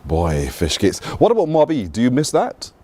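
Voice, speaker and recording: A man hosting a morning TV show, asking lively, encouraging questions of a guest. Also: medium pitch, moderate pace. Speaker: host.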